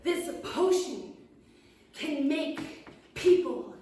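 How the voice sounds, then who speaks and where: A woman voicing a tiny, fame-hungry male gnome alchemist who talks in a squeaky, giggly, boastful way, heard in an echoey room from some distance. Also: animated, very slow, mellow, a little hiss or hum, full character voice. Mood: excited boastful.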